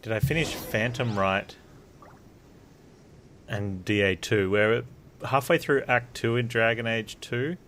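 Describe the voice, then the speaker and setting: A man's voice: mid-range voice, takes it slowly, long pauses, a bit bright, slight background noise. A man doing casual live gameplay commentary as a streamer, with joking, wry asides. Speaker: game streamer. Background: game music.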